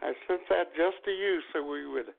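A man's voice: sits high, normal speed, vocal fry. A man co-hosting a radio talk show, joking and teasing his co-hosts. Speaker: co-host. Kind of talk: casual radio banter. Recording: phone-like lo-fi line.

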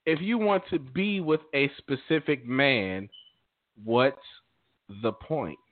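A man hosting a casual call-in talk show, often repeating words for emphasis. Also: slow pace. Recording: muffled low-bandwidth online call audio.

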